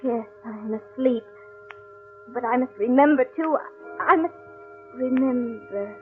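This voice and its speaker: High-voiced bewildered woman acted in radio horror. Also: measured pace, lightly acted. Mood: pleading, entranced. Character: bewildered, anguished woman robbed of her memory. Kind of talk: old-time radio horror melodrama acting. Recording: muffled scratchy old radio broadcast, faint music.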